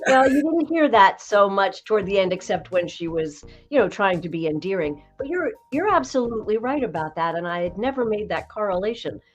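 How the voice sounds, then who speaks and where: Slightly low-voiced woman in her sixties. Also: big pitch swings, a little fast, few pauses, highs cut off, faint music behind. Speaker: voice coach guest. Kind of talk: conversational podcast interview.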